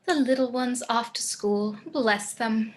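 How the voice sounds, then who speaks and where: A woman's voice: a little high, slow pace. A woman playing an elegant, morbidly romantic family matriarch with composed, macabre flirtation in a table read. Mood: wistful.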